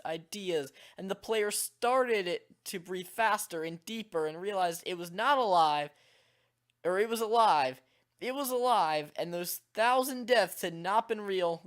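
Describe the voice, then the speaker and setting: A man with a slightly hoarse, worn-out voice, reading a game text aloud in a calm, measured way. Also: on the high side. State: slightly hoarse, voice nearly gone. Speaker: video creator.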